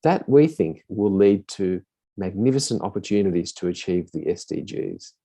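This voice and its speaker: Dark-voiced man giving formal thanks. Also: sits low, lively intonation, moderate pace, breathy edge. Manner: warm, effusive thanks. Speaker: keynote speaker. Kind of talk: formal closing remarks. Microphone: video call.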